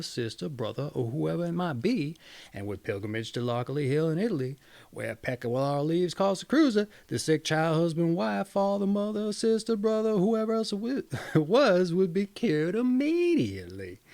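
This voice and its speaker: Storybook peasant husband, played by a male reader: naive, earnest, wordy, high-set and very animated. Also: moderate pace, gravelly. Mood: excited, eager. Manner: excited, earnest, long-winded. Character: gullible, eager, devoted peasant husband.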